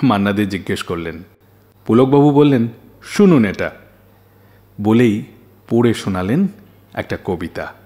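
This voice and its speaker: A man's voice: lowish voice, expressive, unhurried, pauses often, warm tone. A man hosting a radio show, telling scripted stories in a dramatic way and putting questions to listeners.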